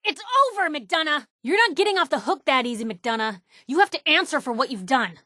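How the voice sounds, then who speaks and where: A woman voicing a sharp-witted, idealistic young female reporter companion in a video game, wry and conversational. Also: high-pitched, lively intonation, brisk, no room sound, studio quality. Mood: angry, accusing.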